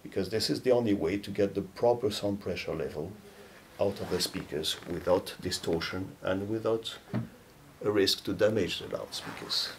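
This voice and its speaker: A man in his fifties speaks in a deep register with a fair amount of fry. He explains things as a company presenter would, in measured, halting phrases with pauses between them. A faint low rumble sits behind his voice. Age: 50s. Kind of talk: explanatory product talk to camera. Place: dark indoor studio or demo room, seated. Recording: low rumbling background noise.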